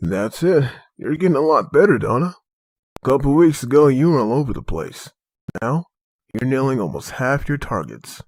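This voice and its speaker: A man plays a resolute leader of zombie-apocalypse survivors in an amateur comic dub. His mid-pitched voice swings widely as he gives orders, and the sound is dry. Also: very animated, moderate pace, a little mellow, dry recording. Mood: calm, encouraging. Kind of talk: dramatic comic dub voice acting.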